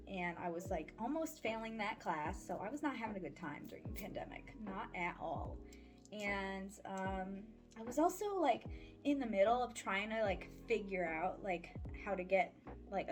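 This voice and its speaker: A woman in her twenties chatting casually in a life-update vlog, candid and slangy with playful sarcasm. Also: mid-range voice. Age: 20s. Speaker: vlogger and voice-acting audio creator. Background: background music.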